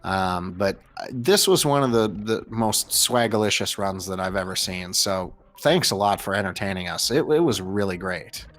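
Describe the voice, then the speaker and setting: A man's voice: low-pitched, expressive, normal speed, few pauses, fairly bright tone. An American man commentating and interviewing on a live gaming race in a casual, chatty, jokey way. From United States. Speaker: host and commentator.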